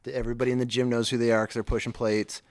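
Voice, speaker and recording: A man hosting a podcast in a studio, telling stories in a blunt, animated way and swearing freely. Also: mid-range voice, rapid-fire. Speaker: podcast host. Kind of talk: casual chat.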